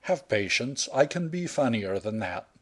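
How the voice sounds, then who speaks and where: A male reader doing a composed young man in a read-aloud story. He speaks slowly with a dry, teasing calm. The tone stays lively in pitch though never hurried. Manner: unhurried, dry, wry.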